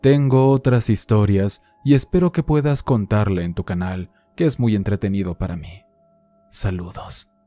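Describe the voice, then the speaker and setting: A man calmly narrating horror stories sent in by listeners, in a measured, somber reading. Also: lowish voice, dry recording. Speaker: horror story channel narrator. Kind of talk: scripted horror narration read from listener letters. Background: faint music.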